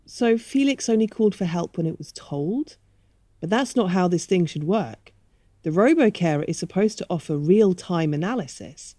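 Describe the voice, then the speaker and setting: A woman's voice: lowish voice, lively intonation, normal speed, mellow, no room sound, studio quality. A woman playing a defensive, officious retirement community manager in a scripted audio drama, businesslike in manner. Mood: questioning.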